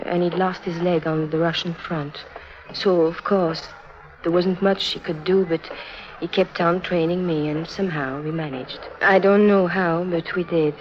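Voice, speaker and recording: An actress as a lonely young European circus girl in a vintage radio drama. Her voice is fairly low, earnest and confiding, with deliberate phrasing. The old recording sounds dull and muffled. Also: natural voice. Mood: grieving. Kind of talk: 1950s radio drama acting.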